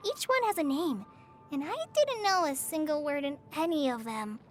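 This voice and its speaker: A woman's voice: sits high, lively intonation, normal speed. An actress playing a shy, earnest teenage girl forest ranger trainee in a video game, hesitant and easily flustered. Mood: chatty, modest. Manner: hesitant, stammering, apologetic. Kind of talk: video game character voice lines. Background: background music.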